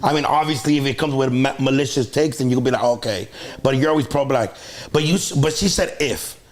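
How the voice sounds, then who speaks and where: A comedian hosting a podcast, talking loosely and rambling with many restarts. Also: male voice, medium pitch, fairly quick. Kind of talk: casual podcast discussion.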